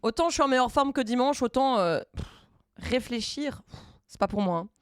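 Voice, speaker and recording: A woman streamer chatting casually while she codes live, thinking aloud in halting bits and dropping in English coding terms. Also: a little high, lively intonation, clear, resonant tone, dry recording, studio quality. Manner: thinks aloud, halting, many fillers and restarts.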